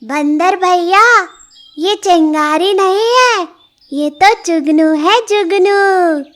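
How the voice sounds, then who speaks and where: A woman voicing a small, helpful female sparrow in a children's story, gently correcting with sing-song, stretched words. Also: extremely high pitch, extremely slow, voice pushed up, exaggerated voice. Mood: earnest advising.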